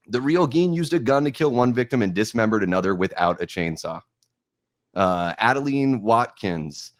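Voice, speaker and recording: A young American man speaks as a podcast host in a fairly low voice with a very dry sound. He talks informally and thoughtfully, often feeling his way through a point as he shares opinions and notes. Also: no echo. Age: young adult.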